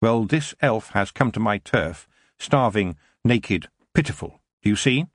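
A man reading an audiobook, voicing a firm young witch girl who speaks with measured authority. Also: fairly low pitch, lively intonation, dry recording, clean studio sound.

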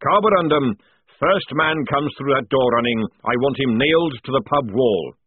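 A man voicing a gruff, crafty army sergeant in an audiobook, barking in mock-formal, colloquial speech. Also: mid-range voice, big pitch swings, moderate pace, dry recording, narrow, tinny audio, pitched above the performer's own voice. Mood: brisk, menacing. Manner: mock-formal, colloquial parade-ground bark.

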